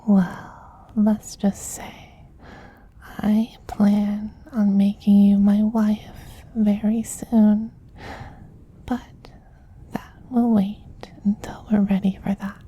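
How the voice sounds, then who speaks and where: A man voicing a tender, dominant, effeminate vampire queen who soothes a beloved pet in a breathy, soft-spoken ASMR roleplay whisper. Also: sits high, level tone, heavily acted. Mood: adoring. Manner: slow, soothing, doting.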